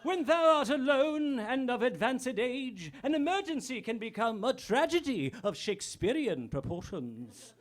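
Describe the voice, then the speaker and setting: A man's voice: very high-pitched, sing-song, a little slow, few pauses, heavily acted. A man playing a grandiose Shakespearean announcer in a spoof commercial sketch. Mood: grandly alarmed. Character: grandiose Shakespearean town-crier style ad announcer.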